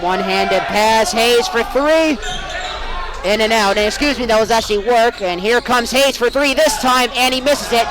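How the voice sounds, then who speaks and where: A young man doing excitable live play-by-play sports commentary as a student sportscaster. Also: very high voice, low-quality recording. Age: young adult. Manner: excitable, bursting into shouts on big plays.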